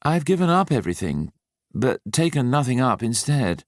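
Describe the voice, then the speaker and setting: A man's voice: mid-range voice, big pitch swings, moderate pace, no room sound, voice pushed up. Man voicing a jaded, sardonic posh Englishman of thirty. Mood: weary, evasive. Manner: dry, world-weary. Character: jaded thirty-year-old upper-class Englishman Patrick, sardonic, evasive, melancholy. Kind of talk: audiobook character dialogue.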